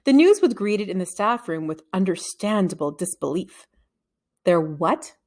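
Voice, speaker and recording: A woman's voice: medium pitch, moderate pace. Woman reading a children's novel expressively. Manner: expressive reading, acting out dialogue. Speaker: read-aloud narrator of a children's novel. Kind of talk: scripted storybook narration.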